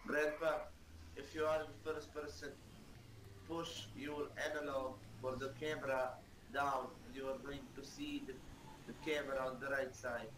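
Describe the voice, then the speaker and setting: Man, loud, teasing, exclaiming, echoey room, background noise. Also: mid-range voice, level tone, moderate pace, distant mic. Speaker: gamer in a roleplay. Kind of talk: casual game roleplay.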